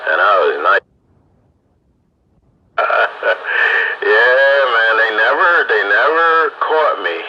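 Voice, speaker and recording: An older American man reminiscing casually and in a rambling way, heard over a two-way radio transmission. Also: low voice. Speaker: radio hobbyist. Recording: noisy, dull lo-fi radio transmission.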